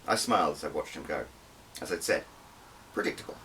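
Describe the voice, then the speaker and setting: Male author-narrator: deep, expressive first-person storytelling, recorded slightly off the mic. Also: brisk. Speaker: author reading own audiobook. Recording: distant mic with faint background noise.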